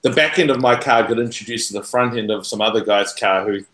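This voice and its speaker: A man from New Zealand, a podcast guest, chatting about his show in a loud, jokey, self-mocking way. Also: medium pitch, fast talker, reverberant. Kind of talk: casual chat. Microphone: USB mic, online call.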